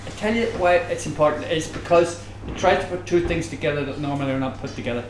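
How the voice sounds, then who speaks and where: A man's voice: fairly high pitch, quick pace. An older man with a Northern Irish accent talking conversationally on a panel, explaining through anecdotes and digressions. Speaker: panelist, academic. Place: bar venue, live audience discussion. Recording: echoey room, background noise, live event.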